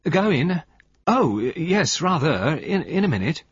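A good-natured but rather dim young gentleman acted by a male reader from a storybook. He is vague and hesitant, with a lively, varied pitch and a dry sound. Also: normal speed, breathy edge, no room sound, lo-fi sound. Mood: absent, flustered. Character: handsome, amiable, slightly stupid young husband.

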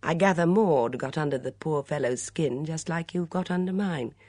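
A woman's voice: deep voice, normal speed, darkish tone, no echo, pitched above the performer's own voice. A woman voicing a glib, teasing young man in love, with flowery, mock-formal speech in an audiobook story. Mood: exasperated, pleading.

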